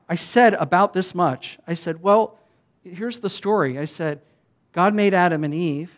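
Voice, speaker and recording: American pastor guy, kinda high voice, chatty storytelling, does the other people's lines. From United States. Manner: conversational, acts out quoted dialogue. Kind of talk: sermon storytelling.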